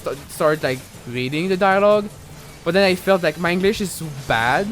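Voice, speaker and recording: A young man with a French accent chats loosely while he plays, drifting between thoughts and peppering his talk with fillers and false starts. His voice sits a little high for a man, and soft game music plays faintly behind him. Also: expressive, normal speed. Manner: rambling, chatty, many fillers and restarts. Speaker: game streamer. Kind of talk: casual live gaming commentary.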